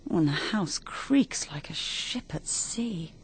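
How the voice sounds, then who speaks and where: Practical no-nonsense english wife in a radio mystery, lowish voice, swings around a lot, some background noise. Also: female voice, animated, slow pace. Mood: uneasy, hushed. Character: brisk, practical, unimaginative married Englishwoman. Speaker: radio drama actress. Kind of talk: British radio mystery drama acting.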